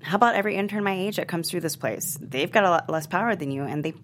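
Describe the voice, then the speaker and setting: A woman's voice: fairly low pitch, quick pace, slight background noise, close to an everyday voice. A woman playing an idealistic, outspoken college-age daughter in a scripted table read. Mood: indignant.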